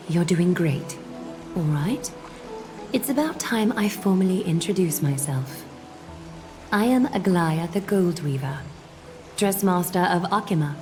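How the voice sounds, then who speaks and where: A woman voicing a composed, noble female leader in a fantasy game, speaking formally and with measured, gentle authority. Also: on the low side. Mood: soothing, guiding, then stately.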